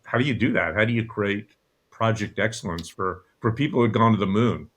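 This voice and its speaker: Older man, lowish voice, reminiscing. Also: a little fast, fairly bright tone, breathy edge. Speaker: guest. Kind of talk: conversational reminiscence. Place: online video call.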